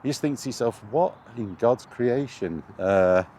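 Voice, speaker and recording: A man's voice: medium pitch, lively intonation, measured pace, dark tone. A man giving a rambling, casual vlog chat about his hobby in a hesitant, conversational, wryly exasperated way. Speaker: video game vlogger. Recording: clear outdoor background noise.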